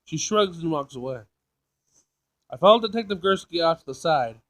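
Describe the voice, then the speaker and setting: A man's voice: fairly high pitch, lively intonation, normal speed. A man doing a casual let's play, reading game text aloud and reacting in an informal, sassy way. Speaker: gaming video player.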